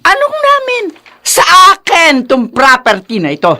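A woman's voice: sits high, wildly swooping pitch, unhurried, pushed and bright, exaggerated voice. A woman playing a greedy, haughty middle-aged heiress in a Filipino radio drama, imperious and confrontational, with a Filipino accent. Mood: haughty, demanding.

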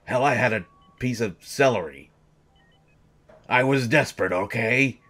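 A man voicing a gruff male sports coach who is slowly turning into a hungry monster, speaking haltingly and repeating himself. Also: medium pitch, fairly bright tone. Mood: hungry, troubled. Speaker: audio drama voice actor. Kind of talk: audio roleplay monologue.

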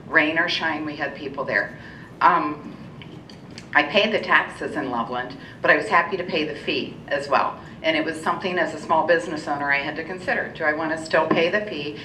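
Middle-aged American woman, lowish bright voice, addressing a civic meeting. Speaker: small business owner speaking at public comment. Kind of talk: public comment speech. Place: indoor council meeting room. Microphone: gooseneck podium microphone. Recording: roomy, distant mic, low rumble and noise.

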